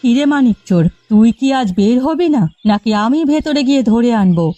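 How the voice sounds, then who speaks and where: A woman voicing a scolding, indignant old village matriarch in an animated folk tale. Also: fairly high pitch, brisk, no echo, faint music behind. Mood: angry, commanding. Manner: scolding, accusatory.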